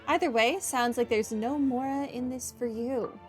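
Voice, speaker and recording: A woman's voice: fairly high pitch, steady tone, moderate pace. A woman giving casual, offhand commentary as she plays through a video game. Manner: wry, offhand. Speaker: gamer doing a playthrough. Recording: game music behind the voice.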